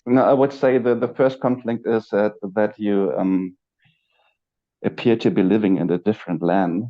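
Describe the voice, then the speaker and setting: Man guest, low voice, halting and searching, dull muffled call sound. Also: steady tone. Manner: halting, searching for words, with frequent fillers and restarts. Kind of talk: reflective spiritual conversation. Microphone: video call.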